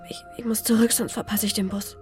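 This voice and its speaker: A woman's voice: medium pitch, fairly even intonation, fast, darkish tone, close to an everyday voice. An actress playing an eager, naive, curious teenage schoolgirl in a radio drama, with a tentative, questioning manner. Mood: flustered. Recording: heavy music under the dialogue.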